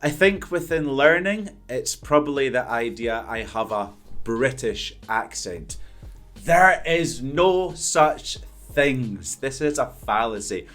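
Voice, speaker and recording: A man's voice: medium pitch, lively intonation, takes it slowly, fairly bright tone, soft background music. A Scottish man in his thirties, an English teacher, talking to camera at a studio mic in an upbeat, earnest, emphatic way. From Scotland. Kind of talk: direct-to-camera talk answering questions. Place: indoors before a green-screen backdrop. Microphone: studio condenser mic in front.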